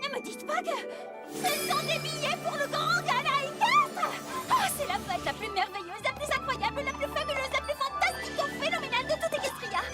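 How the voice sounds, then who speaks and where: Cartoon casting: excitable young party pony, extremely high voice, gushing and exclamatory, over prominent music. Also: female voice, a little fast. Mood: giddy, elated. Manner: gushing, exclamatory, breaks into song. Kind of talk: animated cartoon dub. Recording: music behind the voice.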